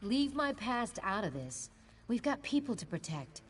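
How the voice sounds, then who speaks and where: A woman's voice: on the high side, very animated, measured pace, soft background music. A woman playing a defiant, guarded resistance superheroine in a video game cutscene.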